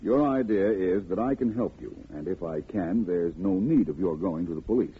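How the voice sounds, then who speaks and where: An actor playing a composed, courteous, analytical private detective in a 1940s radio drama, measured and formal. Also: male voice, low-pitched, animated, husky, heavily acted. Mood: calm, attentive. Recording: noisy, muffled old radio broadcast.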